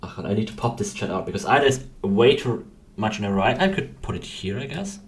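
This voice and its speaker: A young man with a low voice and an Austrian German accent talks off the cuff on a livestream. He rambles hesitantly, often breaking off and picking up again. Faint noise sits behind him at his computer desk. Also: expressive, brisk. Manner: hesitant, self-interrupting, rambling. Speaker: game developer hosting a livestream. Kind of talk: casual rambling livestream chat.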